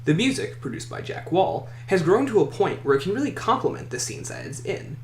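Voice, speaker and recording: A man's voice: high-pitched, sing-song, a little fast. A man hosting a countdown list video, reading scripted commentary and opinion. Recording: echoey room, faint noise.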